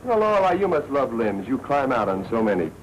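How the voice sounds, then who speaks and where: An actor playing a wry, shrewd newspaper editor with dry, clipped banter in a 1940s crime film. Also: male voice, medium pitch, sing-song, a little fast, natural voice. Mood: dry, needling. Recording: noisy 1940s film soundtrack.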